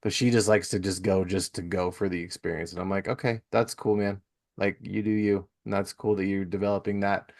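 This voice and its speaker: A man's voice: low-pitched, steady tone, fairly quick, a little mellow. A middle-aged man hosting a podcast in a casual, rambling, self-deprecating and slangy way. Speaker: podcast host. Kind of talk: casual chat.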